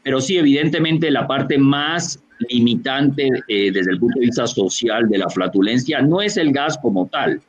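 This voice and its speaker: A Colombian man with a Barranquilla accent speaks as a doctor, explaining at length and pausing often on fillers. His voice sits at a medium pitch. The sound is dull and lo-fi, as on a video call. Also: lively intonation. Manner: explanatory, many fillers and restarts. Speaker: gastroenterologist lecturer. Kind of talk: online medical Q&A answer.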